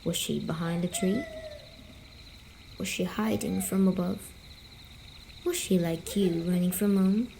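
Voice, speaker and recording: A woman voicing a gentle, teasing female sleep paralysis demon, speaking softly and soothingly in an ASMR story-telling roleplay. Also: on the low side, vocal fry, a little hiss or hum. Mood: hushed storytelling. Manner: slow, soothing, coaxing, softly spoken.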